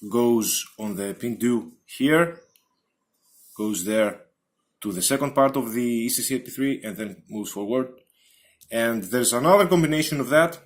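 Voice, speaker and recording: A man giving an unscripted technical explanation as a hobbyist presenter, halting and hesitant, with many ums. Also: fairly low pitch.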